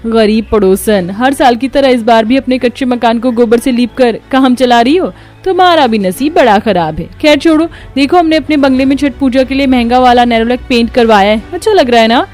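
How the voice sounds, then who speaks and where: A woman's voice: on the high side, animated, fairly quick, hardly any pauses, a little mellow, soft background music, voice pushed up, close to an everyday voice. A woman voicing a snobbish, lazy rich young daughter-in-law in a cartoon, showy, whiny and taunting. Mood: smug, mocking.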